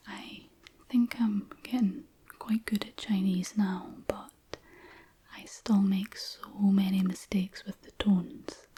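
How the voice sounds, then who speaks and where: A woman gently giving a whispered ASMR language lesson as a tutor, unhurried and instructional. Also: medium pitch.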